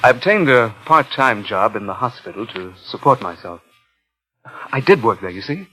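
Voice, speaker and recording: An actor playing a nervous, obsessive impostor in 1950s radio suspense, with a halting, intense delivery. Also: male voice, medium pitch, sing-song, pushed and bright, low-quality recording. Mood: pleading, anxious. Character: nervous, obsessive male impostor and would-be thief. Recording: vintage 1950s radio recording, music behind.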